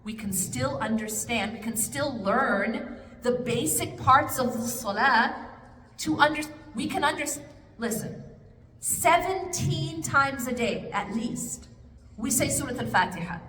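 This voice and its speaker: A woman's voice: fairly high pitch, lively intonation, a little slow, fairly bright tone. A woman answering an audience question at a religious study circle, talking casually and coaxing her listeners with rhetorical questions. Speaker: speaker at an Islamic study circle. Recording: echoey hall with faint noise.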